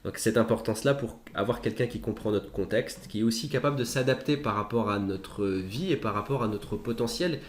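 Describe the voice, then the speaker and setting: A man hosting an interview, summarizing his guest's points in a measured way with some fillers. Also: lowish voice, a little hiss or hum. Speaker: interviewer, host. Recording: echoey room.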